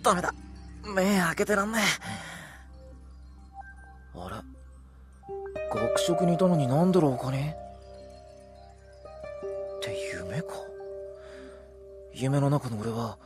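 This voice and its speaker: Brash, animated teenage schoolboy. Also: male voice, on the high side, takes it slowly, pauses often, over loud music. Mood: brooding, puzzled. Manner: blunt, colloquial, reactive. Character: teenage schoolboy, brash, exasperated, easily flustered. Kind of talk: Japanese audio drama acting with inner monologue.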